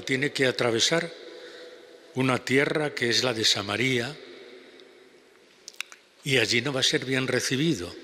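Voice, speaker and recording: An older man with a Spanish accent preaching a homily at a lectern, slow and measured with pauses. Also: medium pitch, bright, forceful tone. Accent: Spanish (Spain). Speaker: priest. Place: large church basilica. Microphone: lectern gooseneck mic. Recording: long church reverb.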